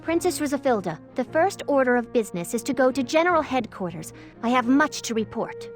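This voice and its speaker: A woman's voice: sits high, big pitch swings, fairly quick, faint music behind. A woman playing a stern, dutiful bodyguard and soldier in a video game, speaking formally and exactingly. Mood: serious, formal. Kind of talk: video game cutscene voice acting.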